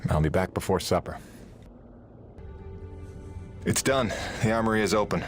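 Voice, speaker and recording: Guy doing wry blue-collar hero in a game, kinda low, offhand and dry, music going behind. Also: normal speed, close to an everyday voice. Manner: casual, dry, wisecracking. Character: plainspoken, wry working-man hero, a hired pilot. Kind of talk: video game character dialogue.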